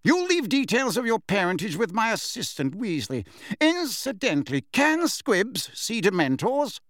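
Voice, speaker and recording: A man's voice: high-pitched, very animated, a little slow, fairly bright tone, dry recording, clean studio sound, voice pushed up, exaggerated voice. A man voicing a pompous, impatient older government minister in an audiobook, lofty and supercilious. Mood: bored, lofty. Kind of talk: audiobook character voice.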